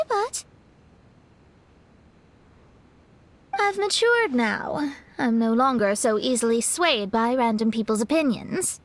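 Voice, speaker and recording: A woman playing a proud, easily embarrassed young astrologer genius in an anime-style video game, with a boastful, theatrical way of talking. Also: high-pitched. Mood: flustered, defensive.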